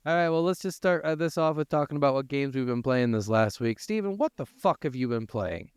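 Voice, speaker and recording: A man's voice: medium pitch, expressive, fairly quick, smooth, no echo, studio quality. A man co-hosting a podcast in casual banter, talking in a joking, sweary way. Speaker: podcast co-host. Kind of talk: casual banter between co-hosts.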